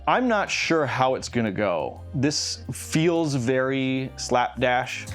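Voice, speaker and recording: Medium-pitched man in casual commentary. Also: very animated, slow, soft background music. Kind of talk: casual behind-the-scenes commentary.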